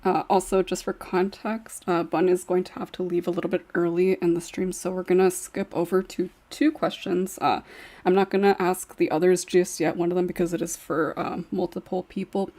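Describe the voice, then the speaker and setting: A woman's voice: on the low side, little pitch movement, normal speed, warm tone. A woman moderating a podcast in a casual way as host.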